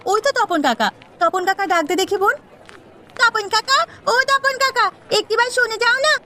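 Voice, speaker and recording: A woman's voice: very high-pitched, lively intonation, normal speed, sharp tone, slight background noise, full character voice. A woman voicing an earnest, eager young village snack-seller girl in a cartoon, sing-song and politely pleading. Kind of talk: Bengali cartoon voice acting.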